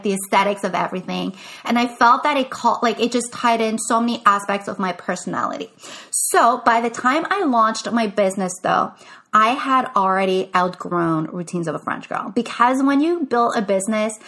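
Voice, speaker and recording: A woman's voice: a little high, sharp tone. A young woman from Quebec, a business coach, chatting casually on her podcast with many "like" fillers and restarts. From Quebec, Canada. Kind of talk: casual solo podcast talk.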